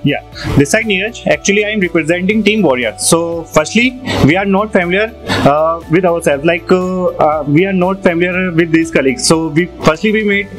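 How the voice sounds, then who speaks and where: Brief: male student, higher voice, halting speech, music in the background. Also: rough recording. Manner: hesitant, groping for words. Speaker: student team representative. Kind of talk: casual team reflection. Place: classroom.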